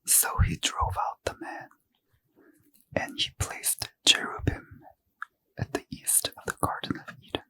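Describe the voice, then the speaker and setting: A man softly whispering a Bible reading in ASMR style, close to the mic. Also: rough recording. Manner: slow soft whisper, unvoiced throughout. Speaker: reader.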